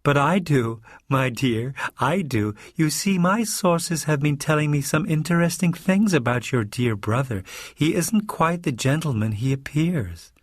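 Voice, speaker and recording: A man's voice: fairly high pitch, lively intonation, measured pace, few pauses, dry recording, clean studio sound, voice pushed up. Man doing a smug, raised-voice American villain. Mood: gloating. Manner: pompous, relishing, sharp. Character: menacing, smug American male villain, theatrically genteel.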